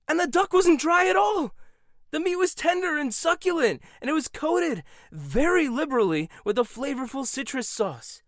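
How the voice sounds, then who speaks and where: A man voices a proud young head chef with a sharp, sarcastic tongue who swings his voice up and down as he argues. He sounds close and dry, with no room around him. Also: very high voice, no room sound. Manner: sarcastic, confrontational. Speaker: voice actor. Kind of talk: audio roleplay.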